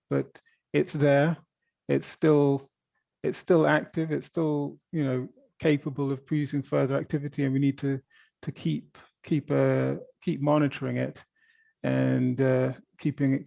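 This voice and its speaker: A man's voice: mid-range voice, level tone, moderate pace, creaky, mellow, dry recording. A man speaking as an expert at a press conference, explaining things off the cuff in a hesitant way with repeated words. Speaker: volcano observatory director answering press questions. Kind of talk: spontaneous press conference answers.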